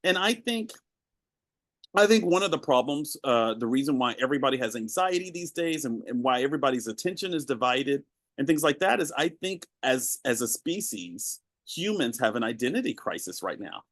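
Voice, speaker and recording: A man's voice: mid-range voice, lively intonation, moderate pace, fairly bright tone, dry recording, clean studio sound. A man from Arkansas, a guest in a podcast interview, talking in an earnest, reflective way and often repeating words as he starts a thought. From Arkansas, USA. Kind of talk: conversational interview.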